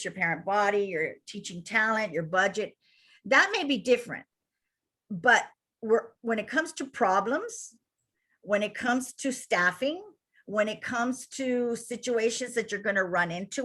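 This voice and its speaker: A woman with a Cuban accent, a childcare center director giving an energetic webinar presentation to attendees over a video call. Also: medium pitch. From Cuba.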